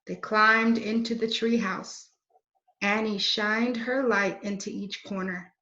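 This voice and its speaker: A woman reading a children's book aloud in a deliberate, expressive way. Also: mid-range voice. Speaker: read-aloud storyteller. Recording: roomy, dull lo-fi recording.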